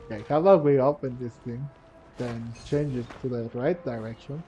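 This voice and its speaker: A man's voice: mid-range voice, normal speed, dark tone, poor audio. A man giving casual playthrough commentary on a video game, musing hesitantly as he thinks aloud. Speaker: gaming video creator, player. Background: game music.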